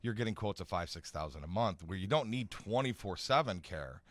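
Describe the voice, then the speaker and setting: A man speaking informally as an expert guest in a radio interview, explaining haltingly, repeating phrases and often checking for agreement. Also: deep voice, lively intonation, fairly bright tone, dry recording. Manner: hesitant explaining, repeats phrases, seeks agreement with "right?" and "you know". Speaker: guest expert on home accessibility. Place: radio studio.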